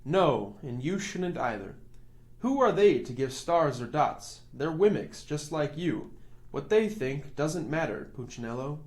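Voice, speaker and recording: A man voicing a kindly, fatherly woodcarver in a children's storybook, speaking gently, slowly and reassuringly. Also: fairly high pitch, expressive. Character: kindly, fatherly old woodcarver, large bearded male maker.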